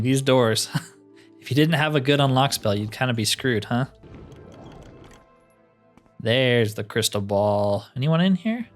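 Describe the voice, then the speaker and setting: A man giving casual, wry video game commentary, thinking aloud as he plays. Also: mid-range voice. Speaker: gaming video host. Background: game music.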